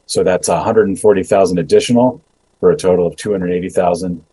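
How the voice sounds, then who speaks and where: Low, dark-voiced government official. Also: male voice, fairly even intonation, breathy edge, low-quality recording. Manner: measured, many ums and uhs, restarts. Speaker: immigration agency official. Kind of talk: prepared remarks and Q&A answers. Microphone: video call webcam.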